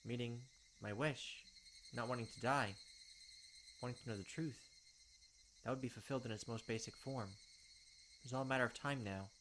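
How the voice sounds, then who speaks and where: Let's play narrator, male, mid pitch, dramatic reader, faint insect noise behind. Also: a bit bright, rough recording. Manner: dramatic reading with quick asides. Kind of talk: reading visual novel text aloud with commentary.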